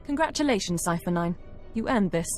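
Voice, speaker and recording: An actress playing a composed, dutiful intelligence analyst in a video game, brisk and professional. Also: female voice, mid-range voice, lively intonation, soft background music, lightly acted. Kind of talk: video game cutscene acting.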